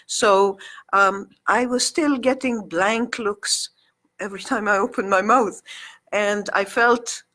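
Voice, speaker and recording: A woman's voice: mid-range voice, steady tone, takes it slowly, bright, forceful tone. Hesitant middle-aged woman, many restarts. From Egypt. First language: Arabic. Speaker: guest. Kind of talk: casual interview chat.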